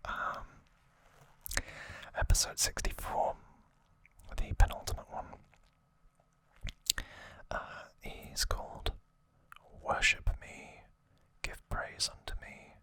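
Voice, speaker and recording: Slow, breathy ASMR whisper. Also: dry recording. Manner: soft, rambling, unscripted commentary with opinions. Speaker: ASMR host. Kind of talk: whispered ASMR trivia recap.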